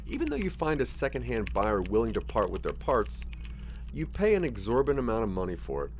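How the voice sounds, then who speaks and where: A man reads scripted second-person fiction in a fairly low voice. His delivery is dramatic and animated, with pitch that moves up and down a good deal as he tells the story. There is clear background noise with a hum behind him. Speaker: narrator of an interactive audio drama.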